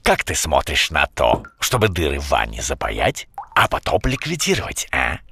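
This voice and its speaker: Wry, gravelly cartoon brown bear. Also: male voice, a bass voice, huge pitch swings, moderate pace, fairly bright tone, slight background noise, heavily acted. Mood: scolding. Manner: wry, admonishing. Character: sensible, wryly stern grown male brown bear. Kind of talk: cartoon voice acting. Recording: music under the voice.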